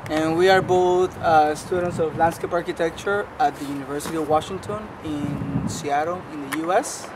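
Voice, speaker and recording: A man's voice: a little high, steady tone, moderate pace. A young man in his twenties from Venezuela, a landscape architecture student, chatty and friendly. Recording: noisy outdoor traffic recording.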